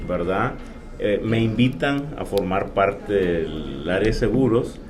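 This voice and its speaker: Earnest man, low voice. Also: lively intonation. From Honduras. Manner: earnest and hesitant, with many pauses. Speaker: insurance agent guest. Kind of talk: casual interview. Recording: faint background noise.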